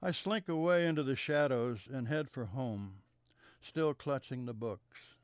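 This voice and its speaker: A man reading a childhood memoir aloud in a measured storytelling manner, letting sentences trail off softly at their ends. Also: mid-range voice, clear, resonant tone, no room sound, clean studio sound.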